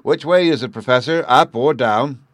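A man voicing a kindly, jolly father who chats loudly and fondly in a storybook reading. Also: medium pitch, normal speed, no room sound, studio quality, voice pushed up, natural voice. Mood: admiring. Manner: hearty, loud, fond.

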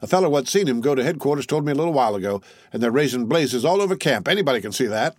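A man's voice: medium pitch, lively intonation, rapid-fire, no room sound, studio quality, pitched above the performer's own voice, close to an everyday voice. A man reading aloud and voicing a boastful tall young soldier who talks in a drawling, folksy way. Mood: insistent. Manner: drawling, folksy, sure of himself.

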